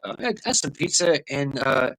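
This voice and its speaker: A man's voice: fairly high pitch, lively intonation, moderate pace, a bit bright, dry recording, poor audio. A man hosting a casual livestream, rambling in a tipsy way, full of laughs and fillers. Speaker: livestream host.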